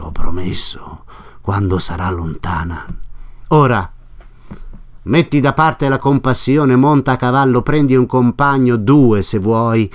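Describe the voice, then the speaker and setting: A man's voice: mid-range voice, clean tone, lightly acted. A man voicing a feared, brooding nobleman who speaks sternly and commandingly, often half to himself. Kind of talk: audiobook reading of a classic novel.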